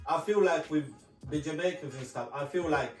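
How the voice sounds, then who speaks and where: Halting young man, roomy sound. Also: fairly high pitch. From Zimbabwe. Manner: halting, repeats words before making a point. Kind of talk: casual group chat. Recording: roomy, from far off, music behind.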